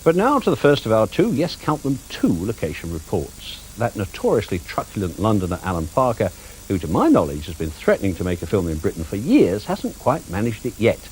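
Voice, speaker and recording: A man's voice: fairly low pitch, wildly swooping pitch, fairly quick, darkish tone, slight background noise, low-quality recording. A man presenting a film review programme in a wry, arch scripted manner.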